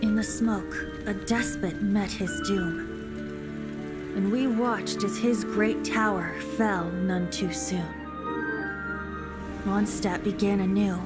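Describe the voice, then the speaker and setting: A woman's voice: mid-range voice, natural voice. A woman playing a whimsical, boyish male bard, an ancient wind spirit in youthful form who tells stories in a wistful, playful game-character style. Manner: wistful, playful, lyrical storyteller. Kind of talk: video game character acting, storytelling. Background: music.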